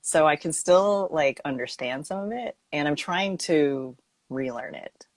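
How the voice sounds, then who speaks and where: A young woman from Texas chatting as a guest on a livestream, soft-spoken and giggly, with hesitant "ums". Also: deep voice, expressive, a little slow, dry recording. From Texas, United States. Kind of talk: casual chat on a livestream. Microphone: phone or laptop mic on a video livestream.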